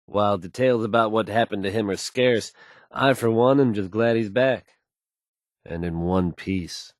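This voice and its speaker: Guy doing smooth confident radio dj from a game, lowish voice, dry studio sound, folksy news patter. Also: unhurried, no echo, close to an everyday voice. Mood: confident, reassuring. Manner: confident, suave, folksy showmanship. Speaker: radio DJ character.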